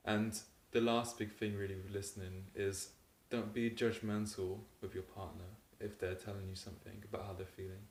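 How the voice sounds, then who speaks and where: A man calmly explaining relationship advice in a steady way, with many fillers. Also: on the low side, normal speed, few pauses, reverberant, a little hiss or hum. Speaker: relationship coach. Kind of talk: advice talk to camera.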